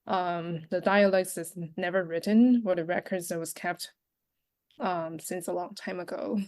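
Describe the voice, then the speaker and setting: A woman guest on a podcast, speaking softly and casually with many fillers. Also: lowish voice, fairly even intonation, measured pace. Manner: soft-spoken, many fillers and restarts. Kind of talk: casual conversation.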